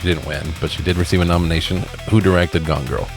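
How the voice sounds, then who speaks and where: A man's voice: sits low, steady tone, fast. A man co-hosting a casual quiz-style chat about movies and games, joking and swearing informally. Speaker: co-host. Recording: guitar music behind the voice.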